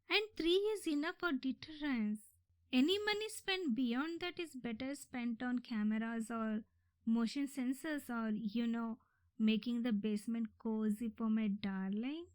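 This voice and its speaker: A high, unhurried voice in a dry, close recording: a woman acting out a theatrical, lovesick yandere sister in a comic audio roleplay. She is indignant and obsessive, rambling on with exasperated melodrama. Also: no room sound. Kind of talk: ASMR comedy audio roleplay.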